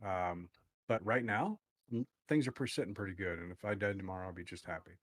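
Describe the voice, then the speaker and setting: A man's voice: sits low, a little fast, fairly bright tone. A man guesting on a casual podcast, joking around and filling his talk with likes and you-knows. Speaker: guest streamer.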